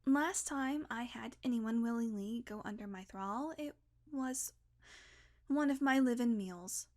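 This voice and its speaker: A woman's voice: fairly high pitch, a little mellow, close to an everyday voice. A woman playing a sardonic, blunt vampire who is guardedly caring and coaxing, in an intimate audio roleplay. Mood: irritated, guarded. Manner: sarcastic, blunt, coaxing, sighing, deliberate pauses.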